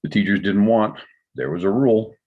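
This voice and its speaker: A man's voice: fairly low pitch, steady tone, brisk, phone-quality sound, close to an everyday voice. A man reading the part of a subdued, terse father in a drama table read. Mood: matter-of-fact.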